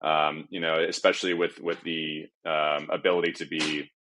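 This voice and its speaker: A man speaking as a panel guest on live sound, explaining off the cuff with halting, repeated phrasing. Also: a bass voice, little pitch movement, sharp tone. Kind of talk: panel discussion, unscripted explanation.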